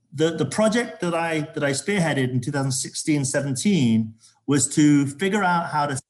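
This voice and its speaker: A man from Zambia, a corporate sustainability executive, talking as an interview guest with a halting delivery full of restarts. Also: medium pitch, a little mellow. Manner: halting, many restarts and fillers.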